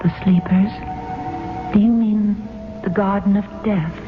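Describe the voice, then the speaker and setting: An actress playing a calm, compassionate, fearless young woman in a 1970s radio drama, earnest and composed. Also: mid-range voice, measured pace, music in the background, natural voice. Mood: curious, pitying. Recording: phone-like vintage radio broadcast, faint noise.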